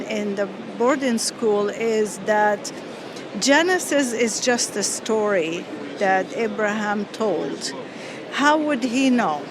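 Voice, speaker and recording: Slow, earnest woman from Lebanon, street noise behind. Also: on the high side. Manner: earnest, halting storytelling with many fillers. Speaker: interview guest. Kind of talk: personal testimony.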